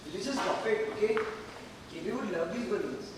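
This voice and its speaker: A man in an amateur stage play acting an earnest, guilt-ridden young friend visiting a hospital patient, halting and insistent. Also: high-pitched, expressive, noisy background. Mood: defensive, insistent. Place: large hall stage. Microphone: distant microphone. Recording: echoey hall from far off, music.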